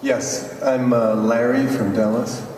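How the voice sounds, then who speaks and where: A man in the audience who asks a panel a polite, halting question. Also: mid-range voice, level tone, a little mellow, a little hiss or hum. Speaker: audience member asking a question. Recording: echoey hall audio from far off.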